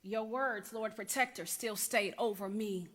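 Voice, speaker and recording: Woman performing an intense rebellious niece, bright forceful voice. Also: mid-range voice, measured pace, pitched above the performer's own voice. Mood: intense, haunted. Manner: emphatic, repetitive, storytelling cadence. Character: rebellious young niece pouring out regret to her aunt. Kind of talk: dramatic church monologue. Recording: echoey hall with long reverb.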